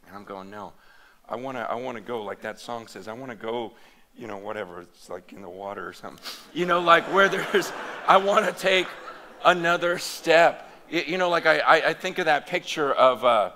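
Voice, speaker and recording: A man preaching a conference sermon in a loose, animated, conversational way, full of fillers and restarts. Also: mid-range voice, normal speed. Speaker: pastor. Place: large hall or meeting room before an audience.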